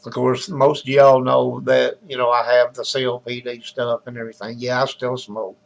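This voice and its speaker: A hoarse, raspy man with a Southern US accent, hosting a live talk show in a loose, chatty way and greeting viewers by name. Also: mid-range voice, flat delivery, normal speed, low-quality recording. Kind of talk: casual rambling live-stream chat.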